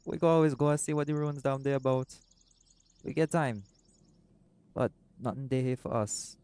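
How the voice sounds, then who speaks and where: A man voicing a doting, laid-back seagull shifter boyfriend in an intimate audio roleplay, speaking softly and affectionately. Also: medium pitch, moderate pace, smooth, no echo, lightly acted. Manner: soft-spoken, affectionate, creole-inflected speech.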